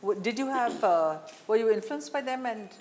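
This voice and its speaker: Lively-pitched Indian woman interviewer. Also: normal speed, slight background noise. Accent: Indian English. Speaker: talk show host, interviewer. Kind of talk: conversational celebrity interview.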